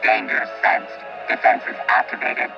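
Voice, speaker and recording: A man playing a stoic robot guardian in a radio play, speaking formally through robotic voice processing. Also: unhurried. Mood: stoic, alert. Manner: formal, flat machine-like phrasing. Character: stoic robot protector devoted to two children. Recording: muffled, band-limited robot sound over music.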